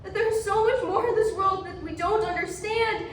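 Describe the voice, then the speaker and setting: A woman's voice: extremely high pitch, moderate pace. A woman playing a mysterious accused witch in a theatrical readers theatre performance. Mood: eerie coaxing. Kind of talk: readers theatre stage acting. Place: large echoey indoor room, distant mic. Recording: echoey hall from far off, faint noise.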